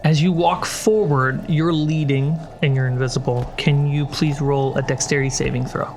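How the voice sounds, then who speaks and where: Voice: medium pitch, fairly even intonation, normal speed. A game master narrating a fantasy tabletop game in descriptive second person, with a cinematic score behind. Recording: loud cinematic music behind the voice. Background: cinematic score and sound design.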